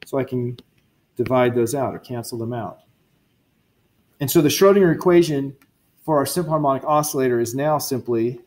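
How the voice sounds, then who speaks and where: A man's voice: mid-range voice, a little mellow. A man teaching a university physics lecture, working through equations step by step, correcting himself and tossing casual asides to his students. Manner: thinks aloud, self-correcting, chatty asides to students. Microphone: lecture recording.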